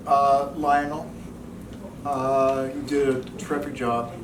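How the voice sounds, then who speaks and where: A man's voice: medium pitch, little pitch movement, takes it slowly. A man giving informal feedback to a group in a careful, hesitant and tentative way. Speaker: former cast member giving feedback. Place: indoor gathering in a home. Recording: echoey, distant mic, noisy room.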